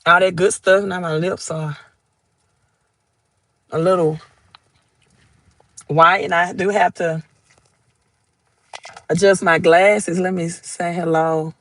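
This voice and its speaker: A woman's voice: on the low side, steady tone, slow, long pauses, a bit bright, no room sound. A woman with a Southern accent hosting a casual crypto livestream from her phone, chatting, greeting viewers by name and reading their comments aloud. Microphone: phone held vertically.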